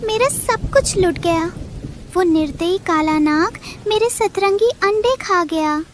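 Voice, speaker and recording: Cartoon neighbour bird, woman performer: tiny high female voice, soft-hearted, pleading, plaintive. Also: animated, slow, slight background noise, full character voice. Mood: grieving. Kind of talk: cartoon character voice.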